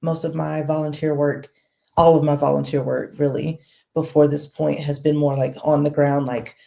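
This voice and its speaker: A woman guest on a podcast interview, talking in a measured, thoughtful way with many fillers. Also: sits low, little pitch movement, vocal fry, warm tone. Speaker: guest, political volunteer. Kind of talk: casual interview.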